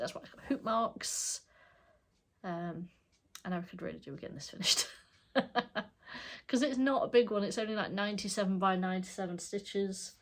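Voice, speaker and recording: A middle-aged woman with a stuffed-up voice, chatting casually as she shows her cross stitch projects, rambling and correcting herself. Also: fairly low pitch. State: stuffed up, congested. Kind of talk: casual show-and-tell chat.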